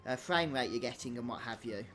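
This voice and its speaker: A man's voice: medium pitch, brisk, fairly bright tone, soft background music. A man hosting a gaming video, giving casual, conversational commentary over gameplay. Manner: chatty, conversational.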